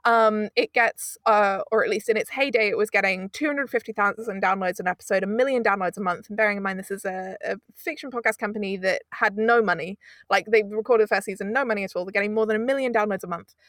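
A woman's voice: medium pitch, fast, fairly bright tone, no echo, studio quality. A woman, a guest producer in a conversational interview, making her points emphatically with rhetorical asides. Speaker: audio drama producer, guest.

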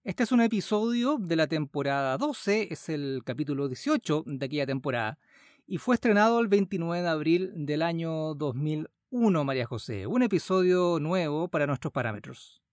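A man's voice: a little high, expressive, normal speed, no room sound, studio quality. A man with a Chilean accent co-hosting a podcast in casual, conversational talk. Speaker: podcast co-host. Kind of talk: casual chat.

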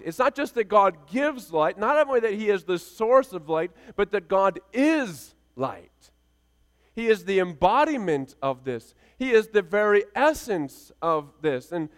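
A pastor in his thirties delivers a sermon in a raised, clear and resonant voice. He builds emphasis through rhythmic, repeated phrases. His voice carries a long reverb, as in a church sanctuary. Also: sits high. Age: 30s. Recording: reverberant hall sound.

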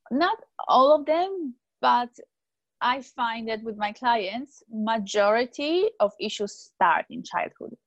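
A woman's voice: fairly high pitch, no room sound, lo-fi sound. A woman from Poland speaking about her work as a guest, in earnest, flowing answers. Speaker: tantra teacher and guest. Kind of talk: conversational interview answers.

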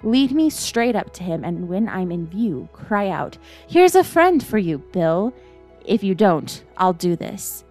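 A woman's voice: mid-range voice, very animated, a little slow, a little mellow, faint music behind. A woman voicing a cruel, sly blind old beggar man in a storybook, moving between sing-song pleading and cold command. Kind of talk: storybook character voice.

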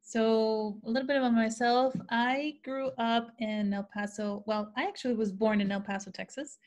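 A woman's voice: a little high, fairly even intonation, moderate pace, darkish tone. A woman teacher who grew up in Ciudad Juarez and whose first language is Spanish, talking in a casual interview with rambling, self-correcting storytelling. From Mexico-US border, Ciudad Juarez. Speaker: teacher, guest.

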